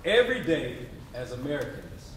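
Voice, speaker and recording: A man's voice: mid-range voice, big pitch swings, moderate pace. A man delivering scripted theatrical narration as a stage narrator, earnest and rhetorical in manner. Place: large hall, live stage performance. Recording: echoey hall, audience and noise.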